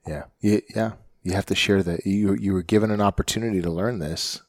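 A man's voice: deep voice, fairly even intonation, fairly quick, no echo, studio quality. A man hosting a podcast interview, encouraging his guest and often checking with "right?". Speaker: podcast host, firefighter. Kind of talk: casual interview chat.

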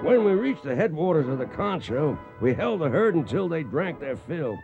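Cowboy narrator, muffled over music. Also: male voice, on the high side, big pitch swings, normal speed, creaky. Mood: matter-of-fact. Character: cowboy narrator recalling a hard cattle drive. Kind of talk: scripted radio drama narration. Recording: muffled radio broadcast with music behind.

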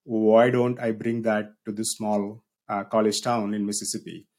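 A man's voice: lowish voice, completely flat delivery, normal speed, a little mellow. A man in his thirties from South India with a South Indian accent, telling his story in an easygoing, lightly humorous way as a podcast guest. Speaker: guest, business owner and university researcher. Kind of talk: casual podcast interview.

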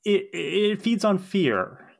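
A woman playing an enthusiastic, anxious young college witch in a podcast table read, dramatic and halting when flustered. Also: mid-range voice, big pitch swings, gravelly, full character voice. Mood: nervous explaining.

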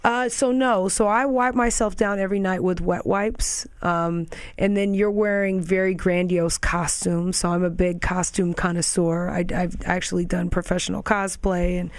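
A young woman with a raspy voice, chatting as an interview guest and drifting into quick tangents and restarts. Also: lowish voice, fairly even intonation, dry recording. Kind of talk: casual interview chat.

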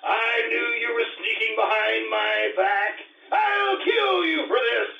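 A man's voice: extremely high pitch, slow pace, vocal fry, soft background music, exaggerated voice. An actor playing a jealous, vengeful nobleman, bellowing melodramatically in a 1950s-style radio drama. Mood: furious. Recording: distant, echoey, noisy, dull stage recording.

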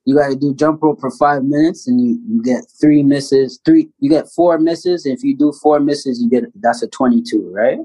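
A man's voice: medium pitch, normal speed, hardly any pauses, warm tone, poor audio. A Canadian man in his thirties, a pro basketball player as an interview guest, telling a story casually with fillers and frequent laughs.

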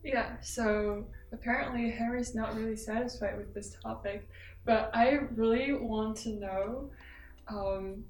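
A woman's voice: fairly high pitch, fairly even intonation, darkish tone. Laughing young woman co-host, distant and echoey. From China. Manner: quick, laughing asides. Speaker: podcast co-host. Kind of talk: casual chat. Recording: roomy echo, away from mic.

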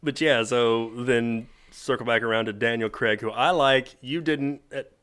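A man co-hosting a podcast in casual chat, excitable, laughing often and swearing now and then. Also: medium pitch, a little slow, clear, resonant tone. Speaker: podcast co-host.

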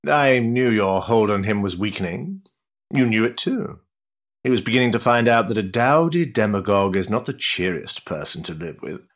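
An actor playing a worldly older duke, an amused, teasing schemer with an urbane, roguish manner in a period stage drama. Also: male voice, fairly low pitch, moderate pace, lo-fi sound, lightly acted. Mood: wry, flattering. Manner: urbane, roguish, patronising flattery with chuckles.

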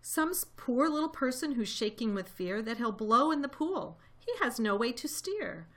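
A woman's voice: a little high, big pitch swings, normal speed. A woman voicing a big, kind-hearted, protective storybook elephant in an earnest, emphatic way. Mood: concerned. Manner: pleading, emphatic.

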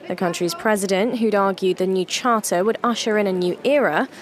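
A woman's voice: medium pitch, fairly quick. A woman reading a scripted news report voice-over as a correspondent.

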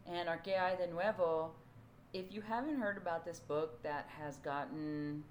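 A woman co-hosting a podcast in casual chat, her voice hoarse from yelling, talking in a chatty, animated way with plenty of fillers. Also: deep voice, a little hiss or hum. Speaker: podcast co-host.

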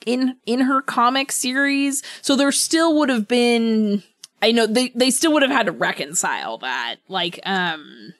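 Animated woman chatting on a podcast. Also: medium pitch, no echo. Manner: halting, thinking aloud. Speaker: podcast co-host. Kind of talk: casual book discussion podcast.

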